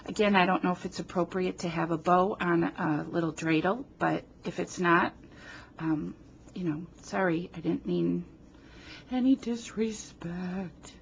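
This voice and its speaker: A woman from New Jersey talks through a card-making project at a slow, unhurried pace, chatty and quick to poke fun at herself. She sniffles now and then from a runny nose. Her voice is picked up by a camera on a tripod over a craft table, with a faint hiss behind it. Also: lowish voice, vocal fry. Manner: chatty, self-deprecating, talks while working. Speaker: card-making tutorial host. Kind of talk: casual craft demonstration. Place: home craft table, indoors. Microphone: camera on tripod above the table.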